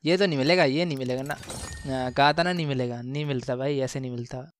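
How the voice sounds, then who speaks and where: A man giving casual, excited gameplay commentary, slangy and exclamatory, repeating phrases for emphasis. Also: mid-range voice, quick pace, no echo. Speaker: gaming channel host.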